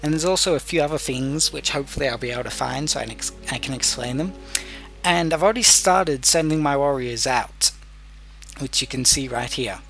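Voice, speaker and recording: A man's voice: medium pitch, a bit bright. A man giving explanatory video game commentary as a tutorial host, patiently going step by step with some hesitation. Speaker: gamer hosting a let's play tutorial.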